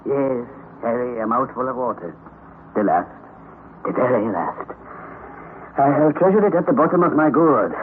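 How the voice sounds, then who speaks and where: A man's voice: medium pitch, lively intonation, moderate pace, pauses often, close to an everyday voice. An actor playing a stubborn, fiercely determined older male professor in an old radio drama, shifting between tender murmurs and stern commands. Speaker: uncle on an expedition. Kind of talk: 1930s-40s radio drama acting. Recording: tinny old radio recording, faint hiss.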